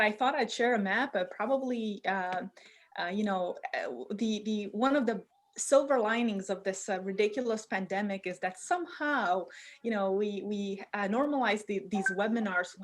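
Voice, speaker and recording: A woman in her thirties from Romania gives a chemistry webinar lecture from her home basement over a video call, speaking informally with many fillers and restarts. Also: medium pitch. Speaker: chemistry professor giving a webinar talk.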